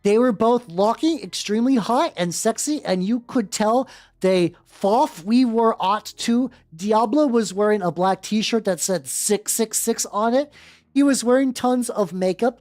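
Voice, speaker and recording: A woman's voice: on the low side, darkish tone. A woman livestreamer reading fanfiction aloud and breaking into informal, sweary, rambling asides. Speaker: livestream host, VTuber. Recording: music playing behind the voice.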